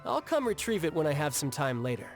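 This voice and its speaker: Breezy young warrior, lighter-pitched. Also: male voice, big pitch swings, fast. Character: breezy, confident young male warrior who relishes duels. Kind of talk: video game voice acting. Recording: music behind the voice. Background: game music.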